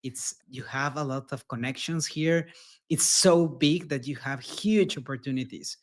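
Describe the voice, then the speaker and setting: Mexican-accented founder, earnest and repetitive. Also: male voice, medium pitch, a little slow, a little hiss or hum. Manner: earnest, repeats words, non-native phrasing. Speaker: startup founder guest. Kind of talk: casual interview answers.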